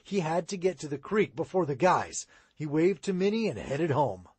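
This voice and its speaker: A man reading a children's audiobook aloud in an expressive storytelling manner. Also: fairly high pitch, moderate pace, breathy edge, dry recording. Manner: expressive read-aloud, rising and falling. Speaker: audiobook reader.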